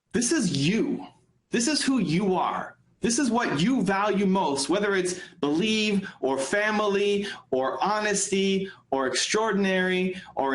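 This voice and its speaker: A man giving a motivational business coaching talk, speaking emphatically and repeating phrases for effect. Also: a little high. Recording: echoey room sound.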